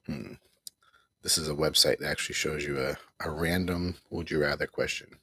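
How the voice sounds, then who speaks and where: A man's voice: a bass voice, steady tone, moderate pace, sharp tone, breathy edge. A middle-aged man hosting a casual home livestream, rambling and repeating himself with small chuckles. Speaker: livestream host. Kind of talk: casual live broadcast chat.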